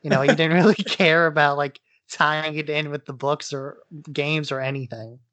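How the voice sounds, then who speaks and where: A man's voice: a little high, fairly even intonation, normal speed, sharp tone. A man co-hosting a podcast, talking in a casual, offhand, opinionated way. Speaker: podcast co-host.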